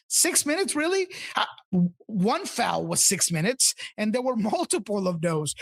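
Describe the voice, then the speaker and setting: Slightly raspy man, loose and emphatic. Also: sits high, fairly bright tone. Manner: loose and emphatic, many fillers and restarts. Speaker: podcast co-host, team insider. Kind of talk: casual sports podcast chat.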